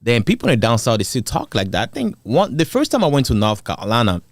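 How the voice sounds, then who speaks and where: A man's voice: medium pitch, huge pitch swings, fast, no echo, studio quality. Rambling African man reacting to clips. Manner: rambling, thinks aloud with hesitations. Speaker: commentary channel host. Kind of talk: casual reaction commentary.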